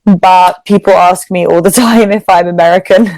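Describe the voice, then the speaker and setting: A woman's voice: fairly low pitch, fairly even intonation, moderate pace, darkish tone, no room sound. A woman from England with a British accent, chatting casually as an online English tutor and using simple phrasing for a learner. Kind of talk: casual conversational lesson. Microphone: video call.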